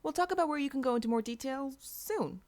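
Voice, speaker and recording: A woman voicing a calm, organised female superhero team leader in an audiobook, businesslike and patient. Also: sits high, quick pace, voice pushed up.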